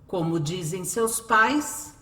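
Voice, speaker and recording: A woman's voice: lowish voice, expressive, slow pace, sharp tone, reverberant. A woman with a Brazilian accent reading scripted narration for a radio drama.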